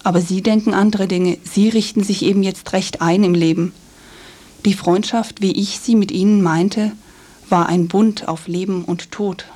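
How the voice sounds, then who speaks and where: A woman playing a melancholy young poet of the 1800s, reading letters gravely and formally for a radio feature. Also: mid-range voice, steady tone, moderate pace, mellow, natural voice. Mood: melancholy, resigned.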